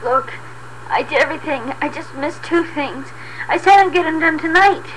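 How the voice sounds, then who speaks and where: A woman's voice: sits high, moderate pace, a bit bright, a little hiss or hum, voice pushed up, poor audio. A woman playing a timid, fed-up housewife, hesitant and pleading, in a homemade audio play. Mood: aggravated, pleading. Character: timid, fed-up housewife, scared of her husband.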